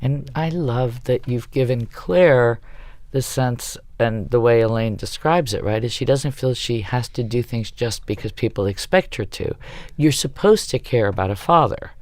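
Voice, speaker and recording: A man's voice: medium pitch, moderate pace, a little mellow, no echo. Reflective man, dry close sound. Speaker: panelist in a discussion of a play. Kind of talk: reflective discussion.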